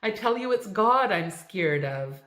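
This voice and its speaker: A woman reading a story aloud, voicing a dying woman who pleads in a shrill, frantic voice. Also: lively intonation, unhurried, fairly bright tone. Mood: despairing. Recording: echoey room.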